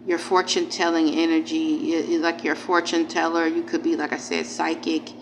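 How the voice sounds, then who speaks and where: A woman's voice: sits low, level tone, moderate pace. A woman giving a casual spiritual tarot reading, informal and emphatic, repeating phrases and speaking straight to the viewer. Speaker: tarot reader, channel host. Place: indoors at a table. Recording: clearly noisy home recording.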